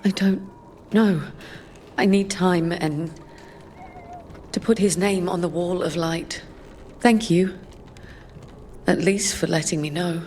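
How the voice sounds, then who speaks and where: Slow, halting, distraught wife. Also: female voice, fairly low pitch, steady tone, background noise. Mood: grief-stricken. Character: worried wife searching for her missing husband, grief-stricken. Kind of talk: video game drama acting.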